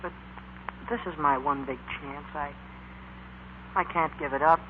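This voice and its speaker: A man's voice: on the high side, steady tone, unhurried, natural voice. An American actor playing an eager, earnest teenage boy bandleader in a 1940s radio drama, with stammering hesitations. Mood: torn, sheepish. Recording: muffled, noisy 1940s radio recording.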